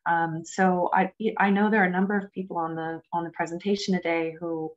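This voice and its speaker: A woman moderating a webinar over a video call, pausing often with fillers as she poses questions. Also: fairly low pitch, flat delivery. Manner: hesitant, many fillers. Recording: dull lo-fi video call audio.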